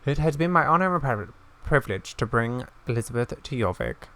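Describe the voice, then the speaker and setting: A man reading aloud a solemn fantasy game elder who remembers a lost friend, in an earnest, measured, halting way. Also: mid-range voice, expressive, fairly bright tone, natural voice. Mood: solemn, wistful.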